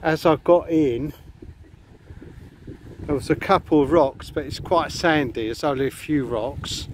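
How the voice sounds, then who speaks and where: A man's voice: fairly high pitch, a little slow. A man chatting casually to viewers as he walks along a beach and past hotels, recorded on a handheld camera outdoors. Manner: rambling, chatty, addresses viewers. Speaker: vlogger. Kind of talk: casual walking vlog. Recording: faint outdoor noise.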